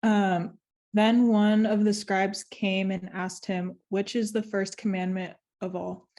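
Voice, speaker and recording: Woman with a slightly raspy voice reading. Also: mid-range voice, little pitch movement, darkish tone. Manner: careful, measured reading aloud. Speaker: participant reading scripture.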